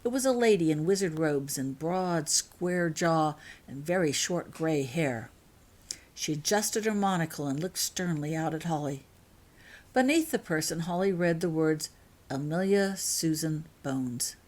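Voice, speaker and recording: A woman reading her own scripted fiction aloud as narrator. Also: fairly low pitch.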